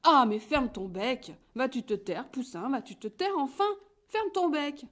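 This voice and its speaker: A woman reading a picture book aloud, voicing an exasperated mother hen who shouts at her chick. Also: high voice, very animated, measured pace, pitched above the performer's own voice. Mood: scolding.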